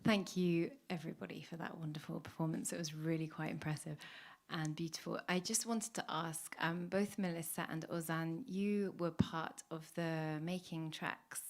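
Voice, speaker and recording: Flat, low-voiced female host. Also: moderate pace, few pauses. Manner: polite, rambling question with fillers. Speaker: event host. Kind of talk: asking a panel question.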